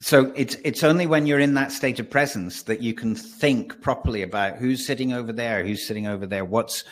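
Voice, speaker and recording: Animated male voice coach. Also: on the low side. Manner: animated, restarts often, slows for emphasis. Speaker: voice and presence coach. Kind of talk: webinar guest explaining.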